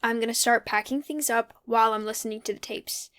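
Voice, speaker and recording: An actress playing a wry, pensive young character talking alone in an audio drama, with a conversational, musing manner. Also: female voice, a little high, steady tone, brisk, lightly acted. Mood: weary, resolved. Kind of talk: audio drama monologue, casual reflective recording.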